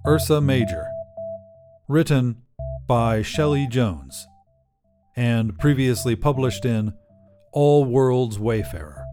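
A man narrating scripted short fiction as the host of a story podcast. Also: mid-range voice.

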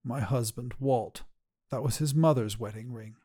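Man voicing a gentle, grieving old widow. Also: mid-range voice, moderate pace, slightly rough, natural voice. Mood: tender, wistful. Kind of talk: audio short story character voice.

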